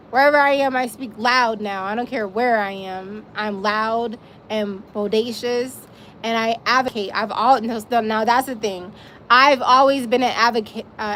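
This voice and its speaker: A woman's voice: a little high, slight background noise. A woman hosting a casual live stream outdoors, chatting straight to her viewers with frequent little laughs. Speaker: livestream host.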